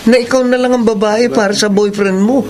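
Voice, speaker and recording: A man's voice: sits high, steady tone, fairly quick, a crowd in the background. A man hosting a radio advice drama, answering bluntly and asking probing questions.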